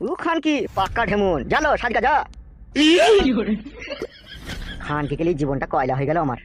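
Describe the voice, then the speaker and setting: A man's voice: high-pitched, big pitch swings, very fast. A man voicing a crude, henpecked young husband who complains in exasperation in a comedy cartoon, with a rural West Bengal accent. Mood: exasperated.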